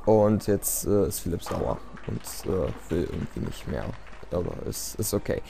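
A man's voice: low-pitched, very animated, moderate pace, vocal fry, dark tone. A man giving casual, self-deprecating gameplay commentary in a resigned, rambling way. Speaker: let's play commentator. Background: game music.

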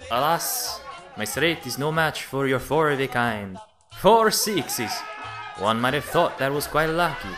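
A man playing a smooth, sociable gentleman gambler with a courteous, theatrical manner in an amateur radio play. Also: medium pitch, lively intonation, a little slow, close to an everyday voice. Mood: knowing, nostalgic. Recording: music and crowd chatter behind.

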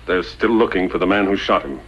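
An actor playing a sober frontier official in a 1950s radio western, formal and grave. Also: male voice, deep voice, fast, fairly bright tone, breathy edge. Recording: muffled old radio recording.

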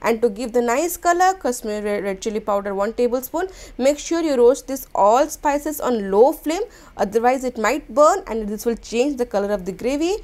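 A woman, hosting a cooking channel and explaining a recipe step by step in a gentle, instructional voice-over. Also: a little high, expressive, moderate pace. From India.

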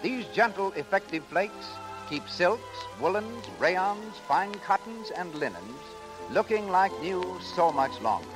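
A man's voice: high-pitched, sing-song, a little slow, music in the background, rough recording. A man announcing and reading commercials in a formal, declamatory 1930s radio style. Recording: old 1930s radio broadcast.

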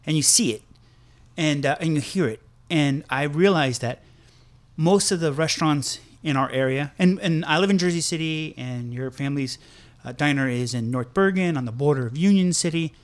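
Podcast co-host, male, former chef, upbeat people-person tone, a bit high-pitched. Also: moderate pace. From United States, Connecticut. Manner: enthusiastic, self-described people person. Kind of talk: casual chat.

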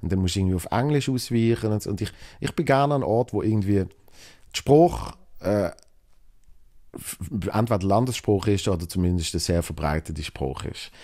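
A man's voice: on the low side, lively intonation, fairly quick, dark tone. A young man from Switzerland with a Basel Swiss German accent, hosting a solo podcast and talking loosely with many fillers and restarts. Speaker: podcast host. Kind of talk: solo podcast, reading listener mail.

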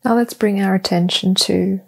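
A woman leading a guided meditation, giving soft, calm, soothing instructions in short phrases with long, deliberate pauses. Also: medium pitch, gravelly, breathy edge.

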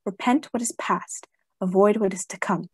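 A woman playing a stern, commanding professor in a radio play, speaking in a deliberate, declamatory way. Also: mid-range voice, husky, no room sound, clean studio sound. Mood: stern, forceful. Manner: declamatory, deliberate, scripture-quoting.

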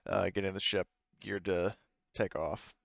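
A man's voice: sits low, fairly even intonation, measured pace, creaky, no echo, dull audio. A man acting as game master, improvising narration casually in hesitant, explanatory phrases.

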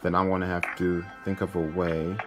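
A man's voice: low-pitched, flat delivery, normal speed. A man hosting a gaming video, commentating casually and thinking aloud in an informal way. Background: game music.

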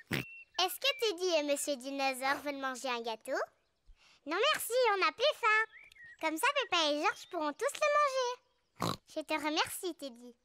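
A cheerful little girl piglet for a French cartoon. The voice is very high and bubbly, with a bossy childlike bounce and slow, clear phrasing. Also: big pitch swings, pushed and bright, slight background noise, heavily acted. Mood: playful, polite.

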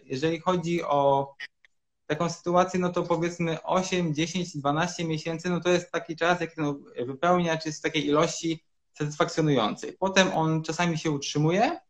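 A man in his thirties, a urologist guest, explaining treatments in measured sentences with frequent hesitations over a video call from a room at home. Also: on the high side. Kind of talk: explanatory interview answers.

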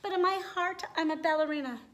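A woman's voice: sits high, quick pace, a bit bright, pitched above the performer's own voice. Bronterina, a woman voicing a sweet dreamy dinosaur. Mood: hopeful. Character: big gentle female dinosaur dreaming of ballet.

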